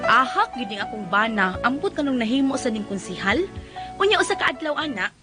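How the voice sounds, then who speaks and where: Woman playing a high-voiced nagging Bisaya wife. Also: big pitch swings, a little fast, over music. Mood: exasperated storytelling. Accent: Cebuano Filipino. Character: exasperated, nagging village wife, narrating her woes. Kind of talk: comedic Bisaya radio drama acting.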